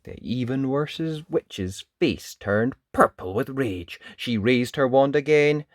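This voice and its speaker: A storyteller reading a children's picture book aloud in an expressive, dramatic way. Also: medium pitch, slow, dry recording. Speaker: storybook reader. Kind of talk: animated children's read-aloud narration.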